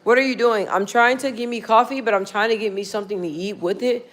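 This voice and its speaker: Woman, livestream host, slightly high voice, loose rambling chat with viewers. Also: fast talker. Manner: chatty and rambling, with frequent laughs; talks aside to people in the room. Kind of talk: casual livestream chat, reading viewer comments.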